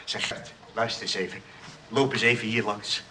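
An actor playing a mild, eager engineer-inventor, hesitant and obliging, in a Dutch radio play. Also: male voice, fairly low pitch, steady tone, moderate pace, lightly acted. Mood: demonstrating. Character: mild, eager male engineer-inventor, tactless and easily swayed. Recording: noisy old radio recording.

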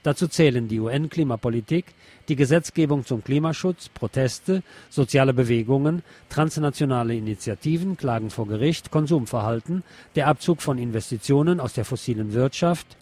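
A man's voice: mid-range voice, brisk, a little mellow. A man reading scripted news as a radio host. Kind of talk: scripted news narration over music.

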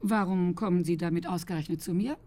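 An actress playing a guarded law office secretary in a radio drama, clipped and unhelpful. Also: female voice, on the low side, a little fast, warm tone, close to an everyday voice. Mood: guarded, curt. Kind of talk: naturalistic radio drama acting.

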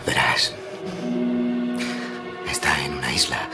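A man playing an overwhelmed, haunted figure confiding in halting, breathy speech in a radio drama. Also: rumbling low, level tone, loud music behind the voice. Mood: haunted, hushed. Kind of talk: dramatic radio fiction acting.

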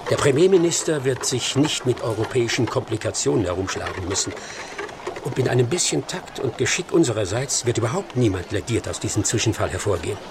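A shrewd, commanding detective, noisy background. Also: male voice, medium pitch, big pitch swings. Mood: pleased, confident. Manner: brisk, probing, articulate. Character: brilliant male detective, commanding, shrewd, quietly amused. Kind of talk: radio drama acting.

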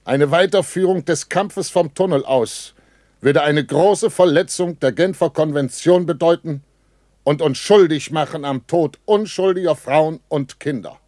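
An actor playing a German army captain who speaks in a formal, solemn military manner in a 1960s radio play. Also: male voice, on the high side, measured pace, a little mellow, dry recording, studio quality, close to an everyday voice. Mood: solemn, resolute.

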